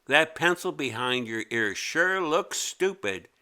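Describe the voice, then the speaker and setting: A man's voice: a little high, animated, slow, bright, forceful tone, smooth, voice pushed up, full character voice. A man voicing a determined, exasperated schoolgirl in a children's storybook, speaking emphatically. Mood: sly teasing. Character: determined, exasperated schoolgirl scheming against a pest.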